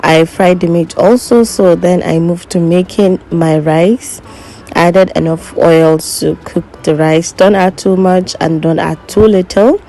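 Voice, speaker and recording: A young woman who is not a native English speaker, narrating her cooking step by step in an unhurried way and correcting herself as she goes. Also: on the low side, fairly even intonation, dark tone, low-quality recording. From Nigeria. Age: young adult. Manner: unhurried, self-correcting, instructive. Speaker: cooking vlogger.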